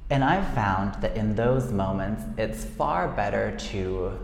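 A man's voice: on the low side, gravelly, darkish tone. A man hosting a casual solo podcast, chatty and self-deprecating, often laughing. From United States. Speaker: podcast host. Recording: echoey room with long reverb and faint noise.

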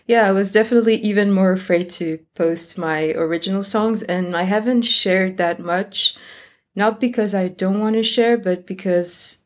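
A woman's voice: mid-range voice, moderate pace. A woman guest musician answering interview questions tentatively, often trailing off with uncertainty.

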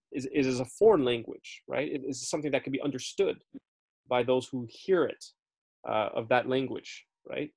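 A man teaching a Bible study in a casual, chatty way, often checking in with his listeners and correcting himself as he goes. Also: medium pitch. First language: English. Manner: frequent fillers and self-corrections.

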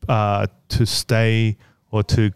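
Low smooth-voiced man, stuttering. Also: completely flat delivery, extremely slow, no room sound. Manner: hesitant, filler-heavy, stuttering. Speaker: guest, business owner. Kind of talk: casual podcast conversation.

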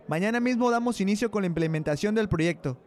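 A man's voice: sits high, fast talker, darkish tone, voice pushed up, lightly acted. A young man with a Mexican accent playing a smug, pompous, ruthless president in an amateur radio drama. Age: young adult.

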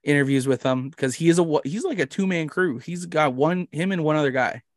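A man's voice: fairly high pitch, brisk, no room sound, studio quality. A man with a Canadian accent hosting a podcast in casual chat, rambling, jokey and self-deprecating. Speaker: podcast host.

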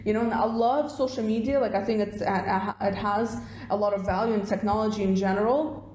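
A young woman from Russia, a podcast guest talking in quick, animated bursts with plenty of fillers. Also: mid-range voice, roomy sound. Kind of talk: casual interview chat.

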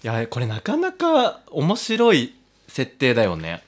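A man's voice: medium pitch, huge pitch swings, a little slow. A man co-hosting a podcast in casual, playful banter, often talking through giggles. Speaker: podcast co-host.

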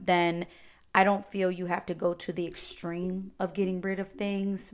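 A woman, hosting a solo podcast and chatting warmly as she answers listener questions. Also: on the low side. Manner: chatty, encouraging, advice-giving. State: slightly raspy voice. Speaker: podcast host.

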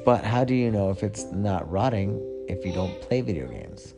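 A man's voice: on the low side, sing-song, normal speed. A middle-aged man chatting casually as a podcast host, teasing and probing with short, playful questions. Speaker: podcast host, father interviewing his child. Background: music clearly audible.

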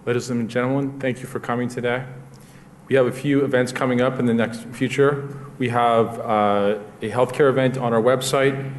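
A man's voice: medium pitch, fairly even intonation, moderate pace. Plain-spoken male event host with faint hiss. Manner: plain, with frequent uh fillers and restarts. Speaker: host of a speaker luncheon. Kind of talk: closing announcements.

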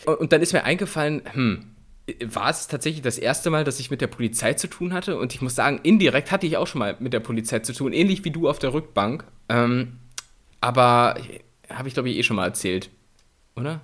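A man's voice: medium pitch, animated, fairly quick, fairly bright tone. A young man co-hosting a podcast, telling rambling anecdotes in casual, slangy language. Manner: slangy, colloquial storytelling. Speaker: podcast co-host.

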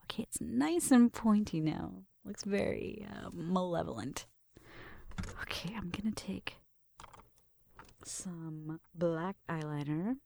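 A woman performing an ASMR roleplay in a gentle, breathy whisper, murmuring through each step close to the microphone. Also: fairly low pitch, animated, takes it slowly. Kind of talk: ASMR roleplay, soft personal attention. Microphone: close microphone, dry sound.

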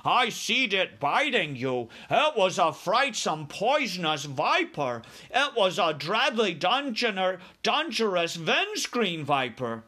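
A man voicing a brave, commanding giant from a children's storybook, shouting emphatically with quirky, muddled grammar. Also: high voice, big pitch swings, slow, hardly any pauses, voice pushed up, exaggerated voice. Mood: urgent warning.

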